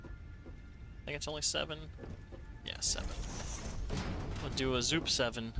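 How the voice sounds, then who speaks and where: A man's voice: medium pitch, steady tone, unhurried, gravelly, over music. A man streaming a factory-building game live, thinking aloud with playful asides to himself. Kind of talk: live gameplay commentary.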